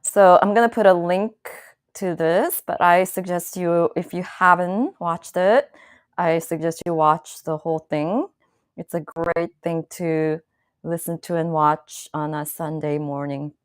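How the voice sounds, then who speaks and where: A woman hosting her own channel, chatting casually to viewers in a slow, thoughtful way with many fillers and restarts. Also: on the low side, no room sound, studio quality. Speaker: channel host. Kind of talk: casual live-stream talk to viewers.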